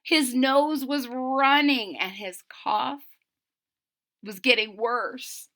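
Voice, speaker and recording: A woman reading a picture book aloud to children in a dramatic, animated way, stopping to explain things. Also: high voice, very slow. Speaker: children's story reader. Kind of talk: expressive read-aloud with explanatory asides to children.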